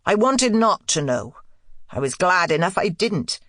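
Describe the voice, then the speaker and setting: A woman voicing a troubled, plain-spoken older housekeeper in an audiobook, halting and woeful, full of hushed dread. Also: fairly low pitch, very animated, no room sound, clean studio sound. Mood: grave. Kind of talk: audiobook character dialogue.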